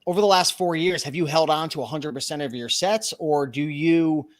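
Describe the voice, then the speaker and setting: A man with a fairly high voice hosts a casual interview. He asks friendly, leading questions and sums up his guest's story back to him. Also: normal speed. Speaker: host, interviewer.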